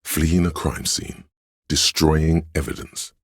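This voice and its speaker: A man playing a solemn, brotherly inner voice of police instinct in a video game, narrating in a measured, brooding, literary way with a gravelly, husky tone. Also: a bass voice, little pitch movement, no echo, clean studio sound, exaggerated voice. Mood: stern, dry. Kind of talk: scripted second-person game narration.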